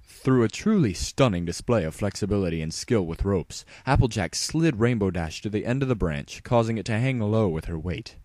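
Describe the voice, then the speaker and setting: A man's voice: lowish voice, animated, moderate pace, few pauses, darkish tone, no echo. A man reading a scripted story aloud as a dramatic narrator. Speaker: fan fiction narrator. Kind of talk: dramatic scripted narration, spoofing an audiobook reader.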